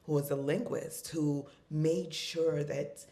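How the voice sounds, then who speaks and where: Young congolese-born woman, low voice, chatty and animated, keeps saying like and right. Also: slow pace. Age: young adult. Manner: animated, frequent "like" and "right?" fillers. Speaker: guest, fashion designer and entrepreneur. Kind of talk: casual podcast interview.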